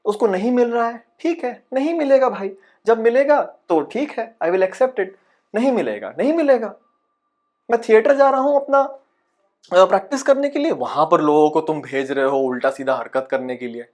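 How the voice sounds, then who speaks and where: A man's voice: high voice, animated, normal speed, darkish tone. A man playing a frustrated, paranoid aspiring actor who rants insistently in a dramatic monologue. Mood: angry, exasperated. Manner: exasperated, ranting, insistent, with rhetorical questions. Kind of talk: dramatic comic audition monologue in Hindi-English mix.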